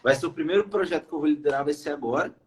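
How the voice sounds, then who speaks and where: A man's voice: fairly high pitch, fairly even intonation, racing. A young Brazilian man from Brazil, a podcast guest speaking casually with many fillers and hesitations. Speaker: podcast guest, iOS developer. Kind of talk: casual interview chat.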